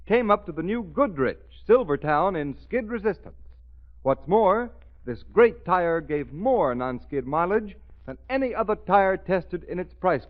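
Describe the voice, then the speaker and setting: A man announcing in a scripted 1930s radio style, dramatic and emphatic. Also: sits high. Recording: muffled old radio recording.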